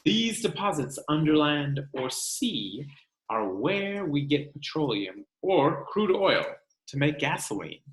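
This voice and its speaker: Animated male read-aloud teacher, echoey room. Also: a little high. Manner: expressive, instructional, pausing to explain and ask questions. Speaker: teacher reading a book aloud. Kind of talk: children's read-aloud with explanatory asides. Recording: roomy, echoing sound.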